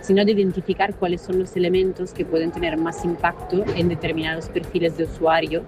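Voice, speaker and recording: A woman researcher explaining a project in a clear, composed way. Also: lowish voice, level tone, fairly quick, over music, poor audio. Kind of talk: expert statement.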